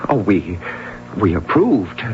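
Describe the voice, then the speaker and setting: A man's voice: deep voice, very animated, slow pace, a little hiss or hum, full character voice. An actor playing an anxious, defensive middle-aged father who stammers and hesitates, in a 1970s radio drama. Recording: lo-fi old radio broadcast.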